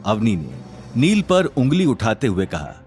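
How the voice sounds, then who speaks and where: A man's voice: lowish voice, huge pitch swings, measured pace, darkish tone, faint music behind. A man narrating a scripted drama serial in a dramatic storytelling style.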